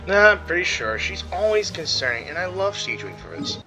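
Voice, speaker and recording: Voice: mid-range voice, very animated, fairly quick, poor audio. A gamer giving casual, slangy commentary over a playthrough. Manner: slangy, informal. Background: game music.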